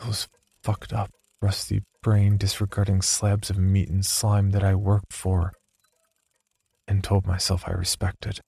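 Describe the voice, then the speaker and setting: A haunted, brooding man played by an actor confesses a dark diary in a horror audio drama. His voice is deep, dark and full of fry. He measures out his words as someone slowly unravelling, with faint noise behind him. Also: monotone, no echo, full character voice. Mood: bitter dread. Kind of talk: horror audio drama monologue, first-person diary narration.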